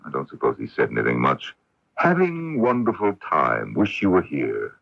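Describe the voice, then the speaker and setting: Gravelly, deep-voiced bitter wealthy father. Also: wildly swooping pitch, normal speed, heavily acted. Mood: grumbling. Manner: brooding, sardonic, grumbling. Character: wealthy, bitter self-made father and domineering husband. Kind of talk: radio drama acting. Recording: muffled early-1980s AM radio broadcast.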